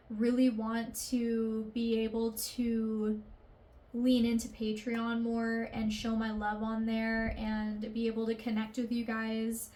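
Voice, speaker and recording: A woman's voice: fairly high pitch, a little mellow. A woman in her twenties, an artist, talking candidly and emotionally in a confessional vlog, rambling with fillers and casual swearing. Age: 20s. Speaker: artist vlogger.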